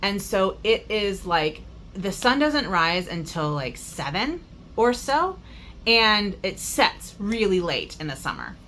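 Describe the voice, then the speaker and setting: A woman's voice: medium pitch, big pitch swings, slow pace, fairly bright tone, slight background noise. A woman vlogger chatting casually as she tells a travel story, full of fillers and asides. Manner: extroverted. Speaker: crafting vlogger.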